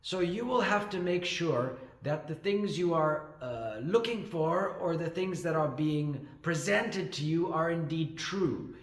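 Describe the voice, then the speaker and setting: A man's voice: fairly high pitch, animated, unhurried, few pauses. Echoey man giving rambling advice. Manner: rambling, with frequent fillers and restarts. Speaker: ESL teacher and channel host. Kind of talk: casual advice talk to camera.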